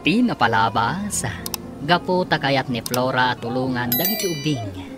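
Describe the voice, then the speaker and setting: A woman's voice: rumbling low, expressive, a little slow. A woman with a Filipino accent narrating a scripted radio drama in a dramatic announcer's delivery. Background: music.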